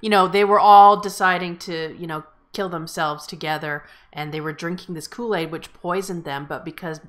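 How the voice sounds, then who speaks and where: Lower-pitched woman hosting casually. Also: steady tone, normal speed, fairly bright tone. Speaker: table read host. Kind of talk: casual group feedback discussion.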